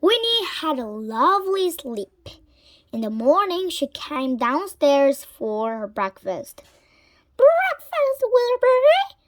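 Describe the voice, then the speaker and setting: A woman reading a children's story aloud in a dramatic way, sometimes hushed. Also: high voice, very animated, slow pace, no room sound. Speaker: storybook reader.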